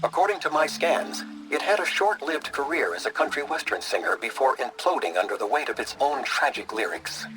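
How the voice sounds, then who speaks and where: A man playing an aloof, sardonic know-it-all sage in a video game, speaking in a formal deadpan. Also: medium pitch, a little fast, few pauses, music in the background, close to an everyday voice, poor audio.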